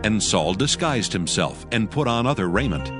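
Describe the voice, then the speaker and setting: A man's voice: fairly low pitch, sing-song, moderate pace. A man narrating scripture from a script in a solemn style. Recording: music bed behind the voice.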